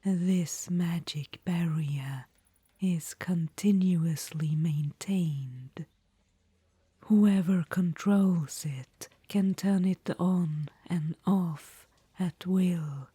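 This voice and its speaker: A woman's voice: on the low side, drawn-out words, mellow, no room sound, full character voice. Woman whispering as a languid undead witch-queen. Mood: languid, conspiratorial. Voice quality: breathy whisper. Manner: whispering, calls the listener darling. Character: undead witch-queen, ancient, vain, imperious. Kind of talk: ASMR audio roleplay.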